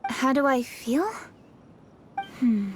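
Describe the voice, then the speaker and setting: High-voiced, poised young noblewoman. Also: lively intonation, very slow, very noisy, heavily acted. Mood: wistful, sincere. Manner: composed, reflective, sincere. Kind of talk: video game voice acting. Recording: music behind the voice.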